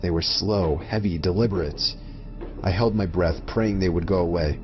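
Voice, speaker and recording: Low-voiced man narrating horror over music. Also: moderate pace. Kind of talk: scripted first-person horror narration. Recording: muffled lo-fi audio under music. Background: prominent background music.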